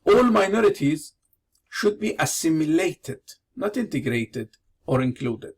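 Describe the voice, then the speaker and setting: Iranian-born guy giving a lecture, slow careful english, bit higher voice. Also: breathy edge. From Iran. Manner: measured, deliberate delivery in non-native English. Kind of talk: prepared lecture with slides.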